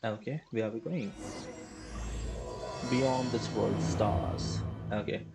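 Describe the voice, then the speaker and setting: A woman commenting her way through a game on a live stream. Her voice sits very low with a gravelly, creaky edge, and she thinks aloud in a loose, relaxed way. Game music plays behind her. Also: slow pace.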